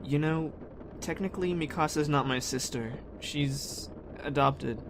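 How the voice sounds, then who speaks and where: A man's voice: fairly high pitch, measured pace. A man as a headstrong teenage boy, noisy background. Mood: subdued, confiding. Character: headstrong teenage boy survivor, blunt but earnest. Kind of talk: naturalistic amateur audio drama acting. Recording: clear vehicle-like background noise.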